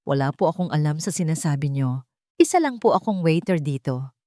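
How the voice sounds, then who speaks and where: A woman's voice: sits low, lively intonation, fairly quick, warm tone, no echo, clean studio sound. A woman voicing a frightened young waitress in a radio-style drama, stammering and apologizing politely. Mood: pleading.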